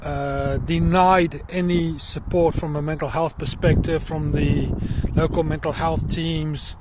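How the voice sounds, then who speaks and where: A man's voice: a little high, flat delivery, background noise. South African man talking on a walk. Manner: earnest and reflective, pausing with fillers. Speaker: crowdfunding campaigner. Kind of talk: personal walking vlog. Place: outdoors in a field.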